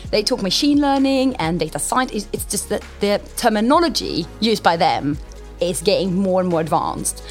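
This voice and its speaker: A young woman who heads a client center, talking in an animated, conversational way and laughing easily. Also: on the low side. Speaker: head of a client insight center. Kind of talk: recruitment video interview. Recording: soft music bed behind.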